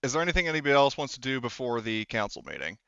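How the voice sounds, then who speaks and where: A man's voice: mid-range voice, very animated, very fast, sharp tone, clean tone, no room sound, muffled recording. A man acting as game master in a casual tabletop roleplaying session, rambling and swearing as he goes. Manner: rambling, sweary, laughs easily.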